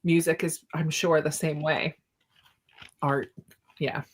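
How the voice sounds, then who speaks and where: A woman's voice: low voice, little pitch movement, slow, slightly rough. A woman hosting a casual book discussion, chatting informally and often laughing through her words.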